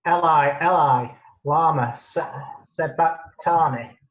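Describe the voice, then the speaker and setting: A man's voice: fairly high pitch, slow. A man playing an anguished, dying crucified prophet crying out in a dramatised scripture reading. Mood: anguished, loud. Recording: echoey room, slightly muffled.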